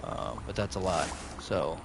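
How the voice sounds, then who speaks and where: A man hosting a gaming channel, chatting casually over gameplay in a rambling, jokey way full of fillers, his voice going slightly hoarse. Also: low voice, animated, a little slow. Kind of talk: casual let's-play commentary. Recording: noisy background under the voice.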